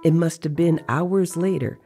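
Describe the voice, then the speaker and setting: A man reading the part of an aging sorcerer who recounts his dream journeys in a measured, reflective way in an audio story. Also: fairly high pitch, dark tone, soft background music, voice pushed up. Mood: reflective, assured.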